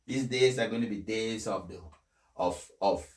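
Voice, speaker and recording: A man speaking in an emphatic, deliberate way, like someone delivering a speech and commenting on it. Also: lowish voice. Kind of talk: formal read-aloud speech, then impassioned personal commentary. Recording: echoey, reverberant room sound.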